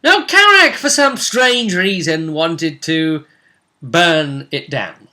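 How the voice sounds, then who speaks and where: Bright-voiced man recapping a fantasy game. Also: a little high, expressive, takes it slowly. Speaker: game master recapping a tabletop role-playing session.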